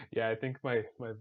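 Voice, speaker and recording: Guy hosting a community q&a, bit raspy, lots of ums, muffled lo-fi sound. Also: medium pitch. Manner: hesitant, many ums and restarts. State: slightly raspy voice. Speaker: community staff host. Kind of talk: casual online community discussion.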